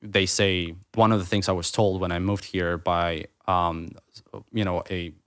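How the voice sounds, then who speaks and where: Low-voiced thirties man, Russian-native, rambling reflective guest speaking English. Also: little pitch movement, measured pace. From Ukraine. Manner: rambling, restarts mid-thought, many fillers. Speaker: podcast guest, writer. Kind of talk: reflective conversational interview.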